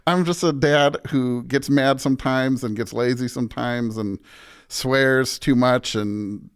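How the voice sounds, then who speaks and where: A man's voice: medium pitch, fairly even intonation, a little slow, smooth. A man speaking as a pastor and podcast guest in a casual, reflective interview, often restarting his sentences in an informal, self-deprecating way.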